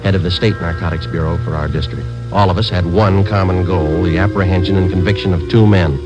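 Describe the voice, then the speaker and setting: Deep, deadpan police detective played by a man. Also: a little fast, natural voice. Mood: matter-of-fact. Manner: deadpan, matter-of-fact. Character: stoic, businesslike male police sergeant going undercover. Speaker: narrator and lead detective. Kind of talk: clipped, deadpan 1950s radio police drama narration. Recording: dull vintage radio recording, loud music.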